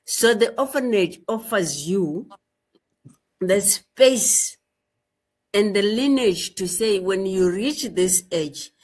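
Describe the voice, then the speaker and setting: Middle-aged woman guest, unhurried, measured delivery, often restates herself. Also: mid-range voice, expressive, a little mellow. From rural Zimbabwe. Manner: slow, measured, repeats phrases. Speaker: guest activist. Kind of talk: interview storytelling.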